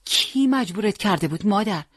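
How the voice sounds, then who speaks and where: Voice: mid-range voice, lively intonation, fairly bright tone, no room sound, clean studio sound, pitched above the performer's own voice. A storyteller voicing a worried mother pleading tenderly and reproachfully with her son in an audiobook. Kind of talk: acted dialogue in an audiobook.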